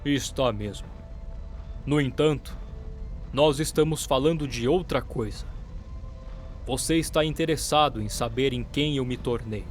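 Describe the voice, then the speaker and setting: A man's voice: mid-range voice, a little slow, pauses often, lightly acted. A man playing a stern, dry-witted military commander in a sci-fi audio drama. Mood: grave. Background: music.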